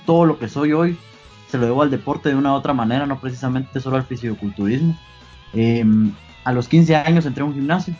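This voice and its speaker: A man in his twenties from Guatemala with a Guatemalan Spanish accent, answering interview questions earnestly, pausing often with fillers. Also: mid-range voice, darkish tone, highs cut off. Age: 20s. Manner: earnest, pauses with many fillers. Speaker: guest athlete.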